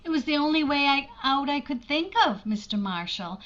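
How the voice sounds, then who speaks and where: Actress as sincere, emotive schoolteacher, high pitch, vintage radio romance, slightly dull sound. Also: female voice, expressive, normal speed, a bit bright. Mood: anxious, confessing. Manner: earnest, emotive. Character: lonely, earnest schoolteacher of thirty-six in romance. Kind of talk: 1940s radio drama acting.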